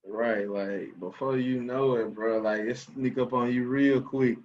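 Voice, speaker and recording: A man's voice: medium pitch, fairly even intonation, a little slow, darkish tone, slight background noise. Young Memphis man on lo-fi call. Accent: Memphis Southern US. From Memphis, United States. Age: 20s. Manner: agreeable, supportive, slangy. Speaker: podcast guest. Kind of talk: casual chat. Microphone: remote call. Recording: dull lo-fi call audio.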